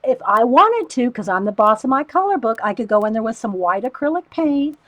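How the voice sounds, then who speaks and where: A woman with a loud voice, chatting casually to livestream viewers while she shows a coloring technique. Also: fairly high pitch, big pitch swings, fairly quick, no room sound. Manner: rambling, talks to chat viewers by name.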